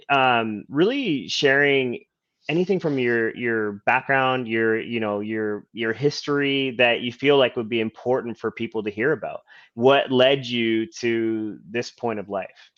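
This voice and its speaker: A man hosts an online interview as a coach, drawing his guest out with slow, deliberate, encouraging questions. His voice sits mid-range and the call audio is dull and lo-fi. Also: medium pitch, fairly bright tone. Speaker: host and life coach.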